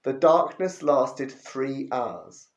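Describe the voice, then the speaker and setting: A man reading a chapter of the Bible aloud for a church, slowly and expressively. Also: medium pitch, expressive, dull audio. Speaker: scripture reader. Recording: roomy echo.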